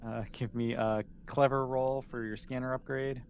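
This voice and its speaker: A man's voice: medium pitch, flat delivery, moderate pace. A man acting as game master, narrating a tabletop roleplaying game in a casual, amused, improvised way. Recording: noticeable background noise.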